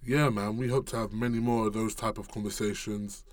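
A man's voice: on the low side, fairly even intonation, a little fast, no echo. Laid-back young male podcast host. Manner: laid-back slangy talk. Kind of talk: casual interview and show outro.

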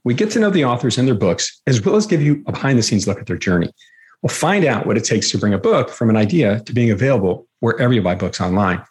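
A man hosting a podcast, reading a scripted introduction and then interviewing a guest. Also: medium pitch. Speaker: podcast host.

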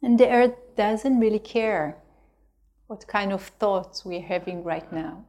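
A woman teaching a guided meditation, speaking gently and unhurriedly with long pauses between phrases. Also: mid-range voice.

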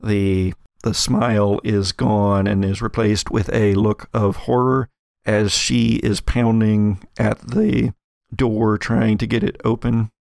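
A man working as game master, narrating a tabletop story in a halting, conversational, improvised way. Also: fairly low pitch, level tone, creaky, darkish tone, dry recording, clean studio sound.

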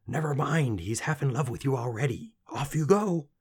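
A man playing a cunning, eager old weaver father in a storybook, wheedling and excitable. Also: mid-range voice, moderate pace, breathy edge, pitched above the performer's own voice. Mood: urgent, excited.

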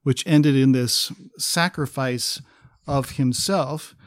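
Male podcast co-host, mid pitch, dry close mic, enthusiastic, reads verses aloud. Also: slow pace, dry recording. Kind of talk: conversational Bible study podcast.

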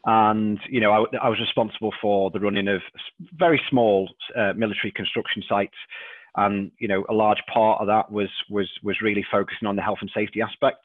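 Man, liverpool accent, low voice, halting with fillers, tinny dry phone-like sound. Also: fairly even intonation, no room sound. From England. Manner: halting, repeats words, many fillers. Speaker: guest. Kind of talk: casual interview chat.

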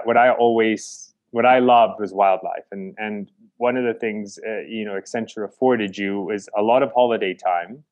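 A man from the Chicago area, a conservation founder answering interview questions in a halting way, with many restarts. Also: on the low side, no echo, highs cut off. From Chicago, United States.

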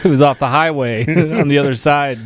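A man's voice: a little high, fairly quick. A man hosting a podcast with casual, teasing, joking banter. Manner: animated, teasing. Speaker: podcast host.

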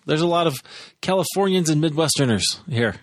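A man's voice: on the high side, lively intonation, brisk, dry recording, studio quality. A man hosting a podcast, reacting with short affirmations and asking questions. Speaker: podcast host. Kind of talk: conversational interview.

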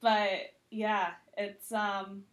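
American woman, TV critic guest, mid-range pitch, casual interview chat, informal phrasing. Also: little pitch movement, drawn-out words. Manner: informal, frequent "like".

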